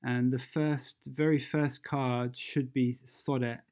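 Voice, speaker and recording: A presenter walks through software steps in a casual, instructional way. The voice is relaxed and mellow, with an unhurried pace and mid pitch. The delivery is informal, as if thinking aloud while guiding the listener. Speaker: tutorial presenter. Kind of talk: casual step-by-step software tutorial narration.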